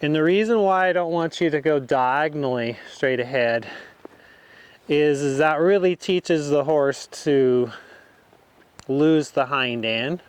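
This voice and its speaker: Clear-voiced man giving a riding lesson. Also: on the high side, unhurried, no echo. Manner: informal, explains step by step. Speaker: horse riding instructor. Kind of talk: instructional demonstration while riding.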